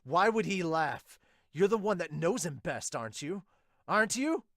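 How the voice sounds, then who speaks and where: A man voicing a brash, dim-witted, emotional young male soldier in a manga dub, loud and indignant. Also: high voice, lively intonation, normal speed, no echo, studio quality, voice pushed up. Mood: anguished.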